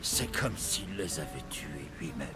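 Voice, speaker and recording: An actor playing a ruthless, embittered hunter of an ancient order in a video game, with a menacing, theatrical delivery. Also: male voice, very deep voice, animated, unhurried, loud music behind the voice. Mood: bitter grief. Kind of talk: dramatic video game acting in French.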